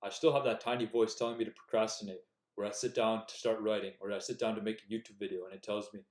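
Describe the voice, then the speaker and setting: A man's voice: lowish voice, level tone, fast, a little mellow. A young man giving earnest, direct self-improvement advice in a casual talk to the camera. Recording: echoey room.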